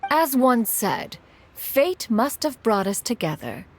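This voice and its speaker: An actress voicing a formal, self-assured immortal expert in a video game, who speaks in an archaic way and calls herself "one". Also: female voice, fairly high pitch, big pitch swings, slow, a little hiss or hum. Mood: composed. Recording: soft music behind.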